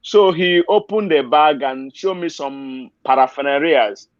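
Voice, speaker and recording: A man's voice: a little high, a little slow, no room sound. A man with a Nigerian accent giving an unscripted personal account as an interview guest, explaining step by step with emphasis. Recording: dry, slightly dull lo-fi audio.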